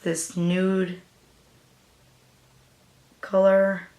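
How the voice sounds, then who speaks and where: A young woman with a raspy voice, chatting casually through a makeup tutorial as a new beauty vlogger. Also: mid-range voice, completely flat delivery, drawn-out words, warm tone, roomy sound. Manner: chatty, hesitant, with fillers and apologies.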